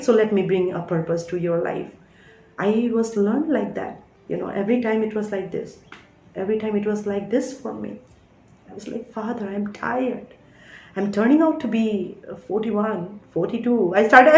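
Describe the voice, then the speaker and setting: A woman's voice: medium pitch, moderate pace, a little mellow, dull audio. Emphatic woman in an echoey room. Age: 30s. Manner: emphatic, repeats "all right?" to the listener. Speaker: tarot reader. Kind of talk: spiritual monologue.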